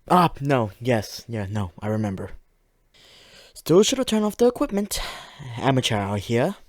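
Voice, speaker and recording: A man playing a suave, high-ranking demon in an audio drama, theatrical, courteous and faintly condescending. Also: a little mellow, dry recording, clean studio sound. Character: suave male demon of high rank, flamboyant, courteous, menacing. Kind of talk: fiction podcast audio drama acting.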